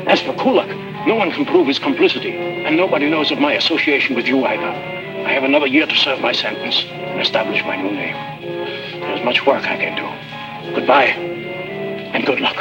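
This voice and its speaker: An actor playing an earnest, self-sacrificing prisoner and secret informant in a 1950s adventure drama, grave and formal. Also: male voice, mid-range voice, sing-song, brisk, creaky, poor audio. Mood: resolute, grave. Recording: vintage 1950s TV soundtrack, music behind.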